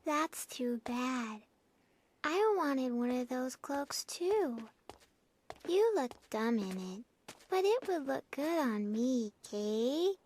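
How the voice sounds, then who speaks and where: Cute little girl for anime game: high, very slow, drawn-out, bluntly childish. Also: lively intonation, darkish tone, full character voice. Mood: sulky, blunt. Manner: drawn-out words, childish verbal tic. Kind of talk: English-dubbed anime-style game acting.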